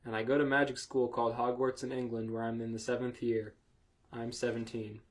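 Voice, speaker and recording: A man's voice: medium pitch, level tone, a little fast. A man hosting a comedy podcast, reading aloud in a casual, sweary, amused way and breaking off for asides. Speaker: podcast host reading fan fiction aloud.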